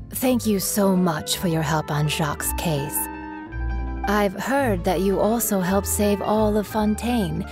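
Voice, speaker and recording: A gentle mother in a video game, speaking at a middle pitch. She is courteous and grateful, with music clearly playing behind her. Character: polite, grateful, gentle mother of a young daughter.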